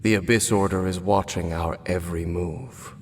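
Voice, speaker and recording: An actor playing a grave, brooding wandering swordsman who speaks in a slow, formal, solemn way in a game cutscene. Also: sits low, fairly even intonation, a little mellow, slight background noise, close to an everyday voice. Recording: game audio with long reverb and music.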